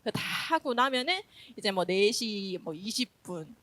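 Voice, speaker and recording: A woman's voice: medium pitch, lively intonation, moderate pace, a bit bright, no echo. A woman teacher with a slight Gyeongsang accent giving a chatty, self-deprecating conference presentation while nervous.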